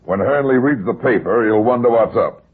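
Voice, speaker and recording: A man's voice: mid-range voice, normal speed, husky, natural voice. An actor playing a shrewd, unruffled male private detective in an old-time radio drama, firing terse, probing questions. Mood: calm, calculating. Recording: muffled, tinny old radio recording.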